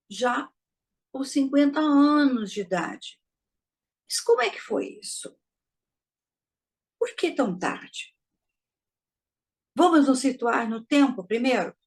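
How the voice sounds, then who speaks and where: Woman lecturer with a slightly high voice, unhurried, measured delivery with rhetorical questions. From Brazil. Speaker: spiritist lecturer. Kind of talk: didactic talk to an audience.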